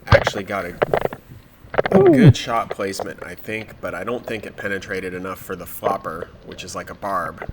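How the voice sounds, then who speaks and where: A man with a cold and a stuffed-up nose, narrating his spearfishing dive footage in a relaxed, matter-of-fact way. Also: deep voice, moderate pace, over a noisy crowd, low-quality recording. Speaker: spearfishing vlogger.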